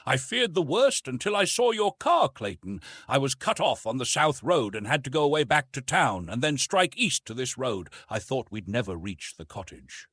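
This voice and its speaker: A man's voice: mid-range voice, very animated, moderate pace, few pauses, fairly bright tone, slightly rough, no echo, clean studio sound, pitched above the performer's own voice. A man voicing an impatient, domineering and disagreeable suitor in an adventure audiobook, curt and demanding. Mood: relieved.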